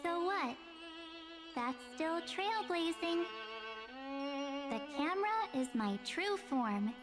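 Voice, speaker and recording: A perky young woman adventurer in a story game, high-pitched and proud of herself, with a sentimental streak. Music plays clearly behind the scripted lines. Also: lively intonation, slow, long reverb tail, exaggerated voice. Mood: wry, reflective. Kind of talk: scripted video game story narration and dialogue. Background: game music with violin.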